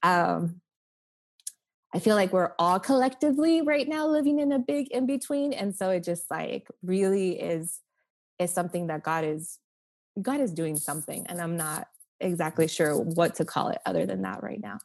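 A woman's voice: fairly low pitch, expressive, moderate pace, gravelly, darkish tone. A young woman from Oklahoma City, a campus pastor, talking earnestly and reflectively as a podcast guest, with many "like" and "um" fillers. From Oklahoma City, USA.

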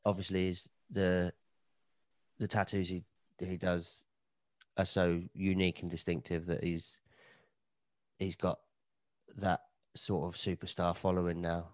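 A man's voice: low voice, level tone, a little slow, long pauses, darkish tone, no echo. A man, co-hosting a podcast in casual chat, dry and joking, a bit hungover. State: slightly hungover. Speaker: podcast co-host.

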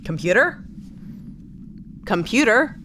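An actress playing a brisk, exasperated female spaceship captain in a sci-fi audio drama, with a dry, curt manner. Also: a little high, sing-song, fairly bright tone, slight background noise, full character voice. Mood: irritated.

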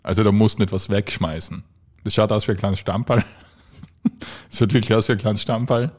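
A man's voice: lowish voice, steady tone, normal speed, a little mellow. A young man with an Austrian accent, co-hosting a casual podcast chat in an easygoing, teasing way. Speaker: podcast co-host.

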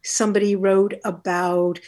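Woman, interview guest, reflective storytelling, deliberate phrasing, articulate, mid-range pitch. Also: little pitch movement, darkish tone. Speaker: guest, philosophy teacher.

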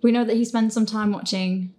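Woman hosting a relaxed q&a, giggly and self-deprecating, chatting about making her show, medium pitch. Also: fairly quick. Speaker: host and audio fiction creator. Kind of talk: casual Q&A chat.

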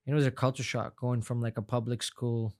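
A man's voice: mid-range voice, little pitch movement, a little fast, a little mellow. A young man from Afghanistan, a non-native English speaker whose first language is Farsi, talking casually as an interview guest, rambling and repeating words in a heartfelt way. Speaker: guest fighter.

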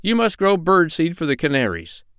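A man's voice: a little high, huge pitch swings, moderate pace, fairly bright tone, smooth, no room sound, clean studio sound, voice pushed up. A man voicing a kindly but stern animal doctor in a children's story, lecturing in a firm, formal way.

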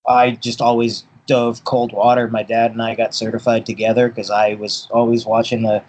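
A man's voice: mid-range voice, monotone, normal speed, a little mellow, rough recording. A man who grew up in Boston, a dive boat captain, telling stories in an easygoing way as a guest on an interview. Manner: easygoing storyteller, repeats words as he thinks.

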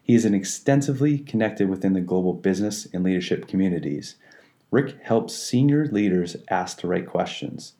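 Guy hosting an interview podcast, lowish voice, upbeat, some ums. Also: expressive, moderate pace, dark tone. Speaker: podcast host. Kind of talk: reading an introduction, then chatting.

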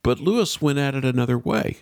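A middle-aged man hosting a conversational interview, easing into questions in a measured, roundabout way. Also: mid-range voice, animated, gravelly, darkish tone, no echo, studio quality. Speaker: host.

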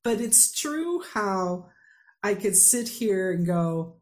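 A woman's voice: mid-range voice, very animated, takes it slowly. A woman in her fifties hosting a podcast. She chats casually with a guest over a video call and laughs often. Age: 50s. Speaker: podcast host. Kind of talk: casual chat.

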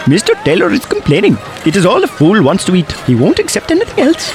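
An actor playing an imperious, scheming restaurant owner in an audio comedy, quick to insult and to flatter. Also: male voice, sits high, faint music behind. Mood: bossy, scheming. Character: bossy, scheming male restaurant owner, fawning to customers. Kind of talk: audio comedy drama acting.

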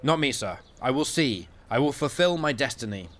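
A man's voice: mid-range voice, animated, normal speed, a bit bright, pitched above the performer's own voice, natural voice. Man voicing an earnest stubborn young soldier. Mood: insistent. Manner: earnest, stammering, insistent.

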